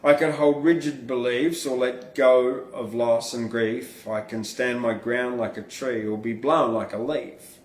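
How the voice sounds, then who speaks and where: A man performs spoken verse in a slow, deliberate way. His manner is informal and blunt, dropping into casual asides between lines. The sound is roomy, with a noticeable echo around the voice. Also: mid-range voice, steady tone, far from the mic. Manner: informal, blunt, freely swearing. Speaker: podcast host and poet reading own verse. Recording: roomy, echoing room sound.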